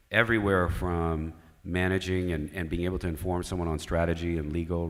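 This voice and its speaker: A man moderating a conference panel, putting questions to the audience and the panelists in a measured way. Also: sits low. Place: large conference hall. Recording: long hall reverb.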